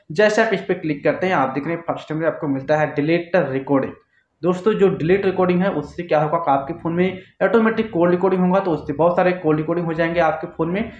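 A man giving a step-by-step phone tutorial to camera, with repetitive, instructional phrasing. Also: a little high. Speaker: tech tutorial host. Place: small indoor office, seated. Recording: echoey room, dull muffled sound.

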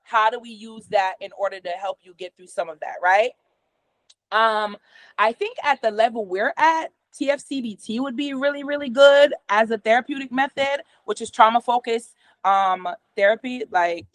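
A woman's voice: a little high, moderate pace, no room sound. Middle-aged woman with a patois accent explaining. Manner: explanatory, steady, gestures a lot with her hands. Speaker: host. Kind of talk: casual conversation explaining therapy methods. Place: small room at home, indoors. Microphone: video call from a computer camera.

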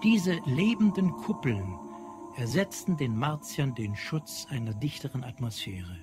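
A man narrating scripted literary prose in a measured, precise way. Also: medium pitch, very animated. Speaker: audio drama narrator. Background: prominent music.

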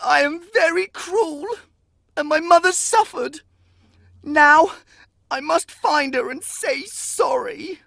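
Brief: woman playing a haughty young boy, high and bright, slow, sneering delivery in a storybook reading. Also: vocal fry, no echo, clean studio sound, exaggerated voice. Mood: remorseful. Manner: sneering, scornful outbursts. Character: proud young boy, vain and cruel, later remorseful. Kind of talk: audiobook character acting.

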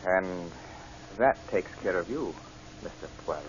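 Through the hiss of a muffled vintage broadcast comes a suave, cold-blooded killer. His medium-pitched voice swings widely, mocking and theatrical, in old radio-play style. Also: lively intonation, a little slow. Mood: menacing. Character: smug, cold-blooded male murderer, suavely mocking gentleman villain. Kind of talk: 1940s radio drama acting.

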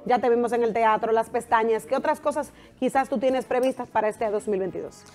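A woman's voice: fairly high pitch, a little fast, a little mellow, soft background music. A Dominican woman in her thirties hosting a morning show, chatting informally in a teasing way from a studio. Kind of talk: casual chat interview. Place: TV studio living-room set, indoors. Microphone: lapel.

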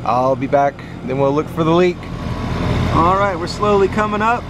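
A man's voice: a little high, lively intonation, moderate pace, background noise. A man working as an HVAC technician, explaining his steps in a casual, chatty tutorial while he works. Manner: laid-back, chatty, talking while working. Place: outdoors beside an AC unit, later indoors.

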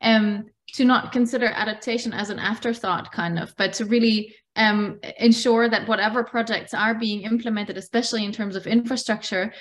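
A young woman, a scientist on an online panel, answering unscripted in a halting way with repeated words. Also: on the high side, fairly even intonation. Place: indoors, on a video call. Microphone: video call microphone.

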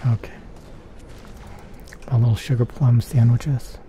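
A man giving soft-spoken ASMR gameplay commentary in a murmur, repeating pet phrases, close to the microphone. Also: mid-range voice, level tone, a little slow. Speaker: video game streamer. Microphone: close stereo microphone. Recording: faint keyboard typing noise.

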